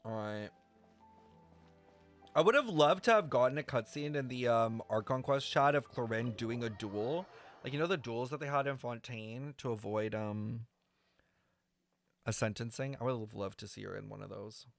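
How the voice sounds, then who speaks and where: A young man giving casual reaction commentary on a game, expressive and slangy, thinking aloud. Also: medium pitch, moderate pace, gravelly, soft background music.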